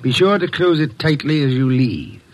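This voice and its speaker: An actor playing a shrewd, commanding older detective boss in a radio drama, speaking in a terse, pompous, deliberate way. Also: male voice, fairly high pitch, lively intonation, natural voice. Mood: gruff, dry. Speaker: private detective. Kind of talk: old-time radio drama acting.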